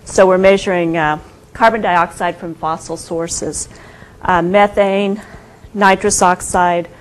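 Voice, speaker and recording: A soft-spoken woman giving an informal guest lecture, hesitant, with many fillers and restarts. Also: lowish voice, fairly even intonation, slow, poor audio. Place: university lecture room.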